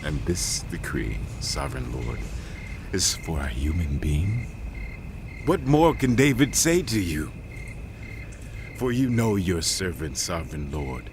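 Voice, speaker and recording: A man playing a devout, humble king in a dramatized scripture reading, earnest and reverent. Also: mid-range voice.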